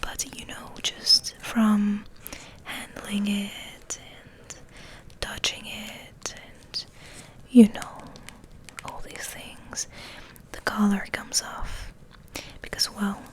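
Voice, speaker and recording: Whispering woman, slow and hushed ASMR. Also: mid-range voice, completely flat delivery, poor audio. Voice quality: breathy whisper. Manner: gentle, hushed, unhurried. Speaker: ASMR host. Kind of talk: ASMR, soft rambling chat and reading aloud.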